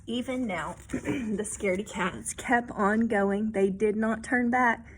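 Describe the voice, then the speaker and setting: A woman's voice: on the high side, takes it slowly, background noise. A woman reading a children's story aloud expressively, with simple explanations and questions to her young listeners.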